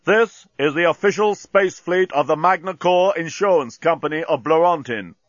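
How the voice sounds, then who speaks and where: A man voicing a cold, legalistic alien mercenary spokesman, harsh and booming, making a formal announcement in an audiobook. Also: moderate pace, no echo, highs cut off, lightly acted. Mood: officious.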